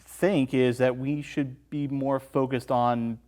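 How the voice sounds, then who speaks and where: A man's voice: mid-range voice, level tone, slow pace, a little mellow. A man appearing as a guest on a film review show, giving his thoughts in casual discussion.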